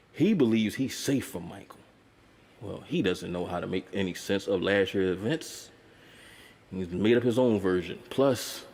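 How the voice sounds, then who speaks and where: Low-voiced male narrator for a film essay; dry wit, casual cursing, reverberant sound. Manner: wry, conversational, with profane asides.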